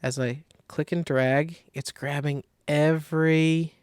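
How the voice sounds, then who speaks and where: A man giving a casual software tutorial, talking informally and thinking aloud as he works, with a creaky, gravelly voice. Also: on the high side, steady tone, a little slow, no room sound, studio quality. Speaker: design software tutorial host. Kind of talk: casual screen-recorded tutorial.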